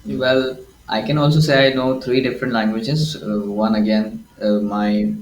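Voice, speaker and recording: A man's voice: medium pitch, little pitch movement, normal speed, highs cut off, slight background noise. A man from India with an Indian accent, talking casually and reflectively, with many restarts. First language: Hindi. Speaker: host. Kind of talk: casual conversation. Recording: echoey room, faint ambience.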